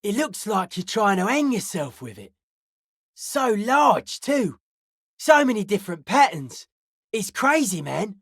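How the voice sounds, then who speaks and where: A woman voicing a suspicious, aloof young hardcore raver in a video game, speaking in deadpan, clipped, cryptic pronouncements. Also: medium pitch, unhurried, slightly rough, no echo, clean studio sound, heavily acted. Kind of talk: video game character dialogue.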